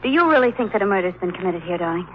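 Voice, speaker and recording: A woman playing a quick, inquisitive companion. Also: lowish voice, expressive, lightly acted. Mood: curious. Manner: quick, inquisitive, easily alarmed. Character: curious, spirited young woman companion. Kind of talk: 1930s radio drama acting. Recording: dull old radio broadcast with faint noise.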